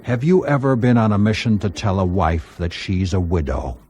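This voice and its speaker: Proud, probing executive, lively delivery. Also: male voice, low-pitched, moderate pace, slightly rough, lo-fi sound. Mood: sombre. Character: proud, probing aircraft company executive, formal and persistent. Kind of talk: BBC radio drama acting.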